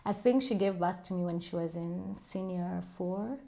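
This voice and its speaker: A woman's voice: on the low side, normal speed, vocal fry, dark tone. A woman with a Ugandan accent, chatting casually and self-assuredly as she answers viewer questions in a vlog. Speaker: vlogger.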